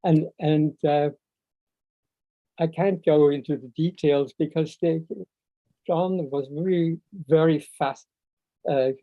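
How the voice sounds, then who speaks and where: An older man, a native Swiss German speaker with a Swiss German accent, giving an informal spoken tribute over a video call, halting and often searching for words. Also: on the high side, fairly even intonation. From Switzerland. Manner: halting, searching for words, frequent long hesitations. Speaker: speaker at a memorial seminar. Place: video call, seated indoors. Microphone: video call microphone. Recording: muffled, echo-free video call audio.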